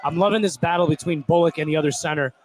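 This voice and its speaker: A man's voice: fairly high pitch, rapid-fire, music in the background, rough recording. A man giving casual, conversational color commentary on a live basketball game, reacting informally to plays.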